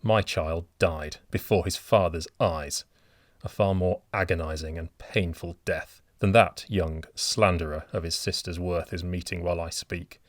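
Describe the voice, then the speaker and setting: A man doing a cold, vengeful gentleman. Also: on the low side, expressive, dry recording, clean studio sound, close to an everyday voice. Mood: cold vengeance. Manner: slow, cold, deliberate. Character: cold vengeful middle-aged man, grim and unmoved.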